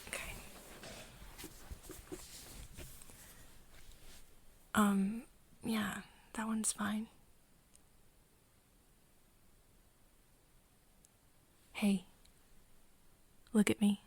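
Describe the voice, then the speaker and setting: A woman playing a caring, teasing young friend of a vampire in an ASMR roleplay, speaking softly and haltingly with whispery breath. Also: mid-range voice, little pitch movement, slight background noise. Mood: gentle, reassuring. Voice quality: soft, breathy, often dropping into whispers. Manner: hesitant, halting, gently coaxing. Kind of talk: ASMR roleplay, intimate second-person acting.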